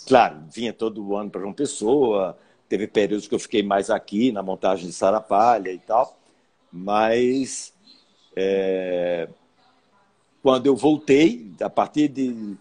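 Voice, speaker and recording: Husky older man with Paraíba accent. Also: on the low side, a little mellow. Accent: Northeastern Brazilian (Paraíba). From Paraíba, Brazil. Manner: reflective, unhurried storytelling. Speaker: guest actor. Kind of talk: storytelling interview answer.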